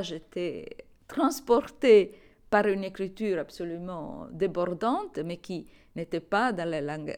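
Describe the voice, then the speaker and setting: A middle-aged woman, a researcher, telling her own path thoughtfully with pauses and fillers. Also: fairly low pitch, a little mellow. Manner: thoughtful, hesitant, with fillers and laughs. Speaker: interviewee, researcher. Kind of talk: reflective personal account.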